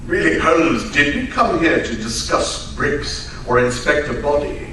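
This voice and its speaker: A man plays a blustery, grumbling detective's sidekick in a radio play. His fairly high voice swoops about with incredulous exclamations. It is heard at a distance in a very echoey space. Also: lively intonation, a little slow, pushed and bright. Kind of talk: old-time radio drama acting. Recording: echoey live stage, distant mic, faint noise.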